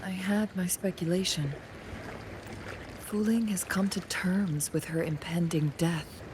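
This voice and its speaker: An actress playing a composed, perceptive woman adviser in a video game, speaking in a measured, formal way. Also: fairly low pitch, fairly even intonation, background noise, natural voice. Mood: calm, knowing. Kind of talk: scripted game dialogue. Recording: game music behind the voice.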